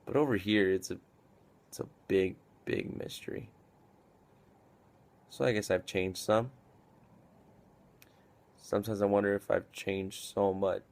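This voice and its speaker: A weary army captain, acted by a man, with a low voice full of creak. He speaks in a subdued, hesitant, confessional way, as in a reflective war film monologue. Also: steady tone, unhurried, long pauses, darkish tone.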